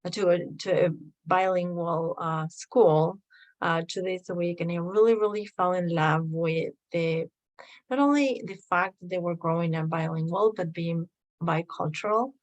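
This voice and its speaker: A woman from Argentina with an Argentinian Spanish accent, a native Spanish speaker, answering a practice interview question hesitantly with many pauses. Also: on the low side. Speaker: workshop participant.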